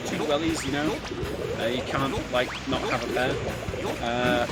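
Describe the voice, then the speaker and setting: A man's voice: medium pitch, fairly even intonation, a little slow. A man co-commentating casually on a live video game run, with wry, understated asides. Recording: loud game music behind the voice. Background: loud video game music.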